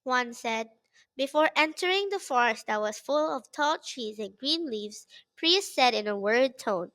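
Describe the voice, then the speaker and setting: A woman with a fairly high voice reads a children's story aloud. She narrates from a script, as the author of the tale. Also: animated, a little slow, fairly bright tone. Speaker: author reading own story.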